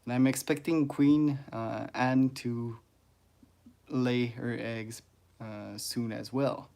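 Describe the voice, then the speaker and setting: A man with a French accent, a native French speaker, talking casually on a livestream about his ant-keeping hobby, pausing often with "uh" and stopping to greet viewers in the chat. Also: medium pitch, steady tone, gravelly, dark tone. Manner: halting, many "uh"s and restarts, greets chat viewers.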